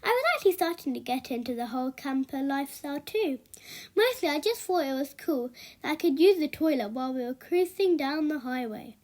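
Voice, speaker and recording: A woman reading a children's book aloud as an expressive storyteller. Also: high-pitched, fairly quick.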